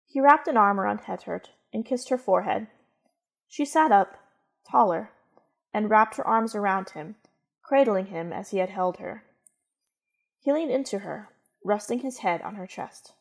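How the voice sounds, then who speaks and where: A woman's voice: medium pitch, animated, normal speed, gravelly, long pauses. A woman reading scripted story narration in the manner of a radio play.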